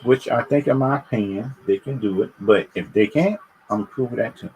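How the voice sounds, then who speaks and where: A man's voice: mid-range voice, a little mellow, muffled recording, a little hiss or hum. A man chatting casually and unscripted to viewers as a livestream host, stammering and repeating his phrases as he gives opinions. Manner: halting, repetitive, stammering over phrases.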